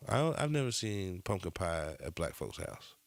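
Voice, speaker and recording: A man's voice: low-pitched, big pitch swings, normal speed, gravelly, no room sound. A man co-hosting a casual podcast chat, laid-back and slangy, his voice still a little nasal from a cold. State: slightly nasal after a cold. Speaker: podcast co-host.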